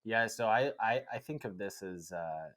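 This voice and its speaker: A man co-hosting a casual podcast conversation, speaking in a relaxed, reflective way and often filling with "like" and "right?". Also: lowish voice, moderate pace. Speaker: podcast co-host.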